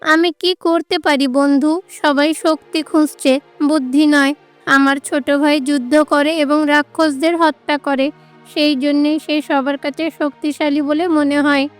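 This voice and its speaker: A woman's voice: high-pitched, fairly even intonation, a little slow, soft background music, voice pushed up. A woman voicing a hurt, sulky young boy god in a cartoon, plaintive and earnest.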